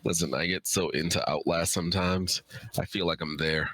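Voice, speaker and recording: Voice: sits low, steady tone, moderate pace, fairly bright tone. A streamer chatting casually, informal and emphatic in the way of talking. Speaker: streamer, host of a guest conversation. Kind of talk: casual chat.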